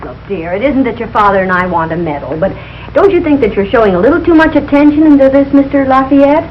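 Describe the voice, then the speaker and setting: An actress playing a patient, gently wry wife and mother in a 1940s radio sitcom. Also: high voice, animated, fast talker. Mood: placating, wry. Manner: placating, gentle. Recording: muffled, noisy old radio recording.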